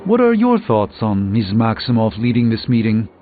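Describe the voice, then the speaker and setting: An actor playing an erudite, haughty middle-aged sorcerer superhero in a video game, speaking formally with dry, measured articulation. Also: male voice, on the low side, sing-song, phone-quality sound. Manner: formal, dry, slightly pompous. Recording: band-limited game audio under music.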